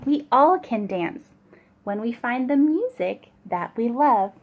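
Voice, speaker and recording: A woman's voice: on the high side, very animated, slow pace, heavily acted. A woman voicing a sensitive, clumsy male giraffe in a storybook, earnest and openly emotional. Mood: contented.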